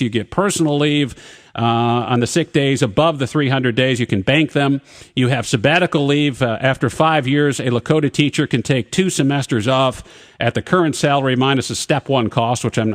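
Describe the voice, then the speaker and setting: Male talk radio host, studio mic, pushy and argumentative, runs through lists. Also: medium pitch. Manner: pushy, interrupts guests; reads contract terms off in a rapid list. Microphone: studio broadcast mic.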